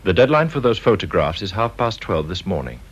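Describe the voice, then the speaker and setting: Sardonic blackmailer, husky voice, swooping intonation, polite menace, radio play. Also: male voice, deep voice, very animated, a little fast, lo-fi sound, heavily acted. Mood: cool menace. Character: smooth, menacing male blackmailer, calmly mocking. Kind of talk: radio drama acting.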